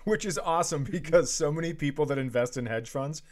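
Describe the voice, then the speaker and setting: A man's voice: on the high side, a little fast. A man co-hosting a comedy podcast in casual banter, with a teasing, profane manner.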